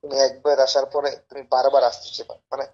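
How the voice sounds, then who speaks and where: A Bangladeshi man making an informal phone sales pitch in a pushy, persuasive, repetitive way. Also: completely flat delivery, fast, a little mellow, husky, lo-fi sound. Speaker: caller pitching a service as a tout.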